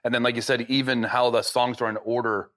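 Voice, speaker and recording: A man's voice: medium pitch, little pitch movement, a little fast, a bit bright. A man hosting a podcast interview, talking in a loose, rambling way full of fillers. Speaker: podcast host. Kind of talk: casual interview chat.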